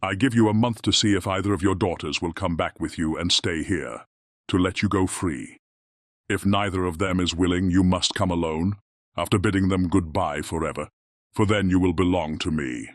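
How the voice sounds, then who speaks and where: A man's voice: deep voice, normal speed, no echo, clean studio sound, lightly acted. A man playing a grim, commanding beast in a dramatized fairy tale audiobook, speaking formally. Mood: grim, threatening.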